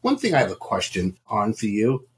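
A man's voice: fairly low pitch, wildly swooping pitch, moderate pace, slight background noise. A man hosting a casual interview, asking chatty, rambling questions with some emphasis. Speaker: podcast host, interviewer.

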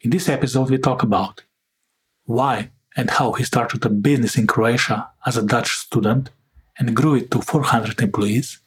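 A man's voice: medium pitch, steady tone, moderate pace, slightly rough. A man hosting an interview podcast in a conversational way, often padding his sentences with filler phrases. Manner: frequently interjects "let's say". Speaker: podcast host.

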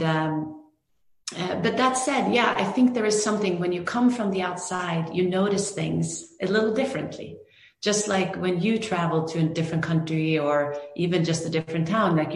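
A woman's voice: on the low side, moderate pace. A Norwegian woman, a film director, answering interview questions thoughtfully with many restarts and fillers. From Norway. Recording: echoey room.

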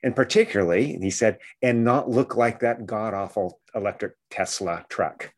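Low-voiced man, halting podcast talk. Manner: enthusiastic, halting with many fillers. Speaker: podcast co-host. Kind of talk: casual podcast discussion.

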